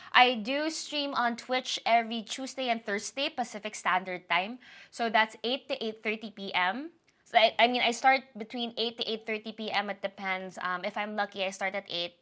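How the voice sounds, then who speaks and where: Bright-voiced woman chatting to camera. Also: fairly high pitch. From Philippines. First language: Tagalog. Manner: chatty, apologetic, rambling with self-corrections. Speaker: YouTuber giving a channel update. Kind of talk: casual chat to camera.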